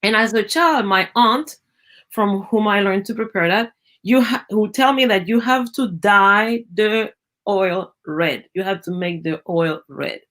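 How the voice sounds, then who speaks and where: A middle-aged woman from Venezuela with a Venezuelan Spanish accent, a native Spanish speaker and biologist, telling stories in a lively interview, acting out quoted voices and often restarting her phrases. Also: medium pitch, unhurried. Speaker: guest, biologist. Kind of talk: conversational storytelling interview.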